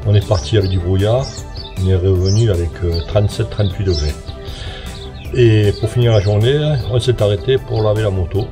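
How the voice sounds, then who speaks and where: A man's voice: on the low side, a little fast. A man from the south of France chatting to his viewers as a motorcycle travel vlogger, friendly and easygoing. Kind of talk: casual travel vlog narration. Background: background music.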